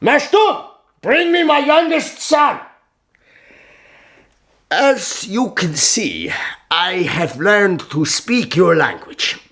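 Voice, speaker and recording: An actor playing a shrewd, imperious medieval sultan in a historical radio drama, measured and questioning. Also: male voice, high-pitched, very animated, fairly bright tone, full character voice. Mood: satisfied, commanding.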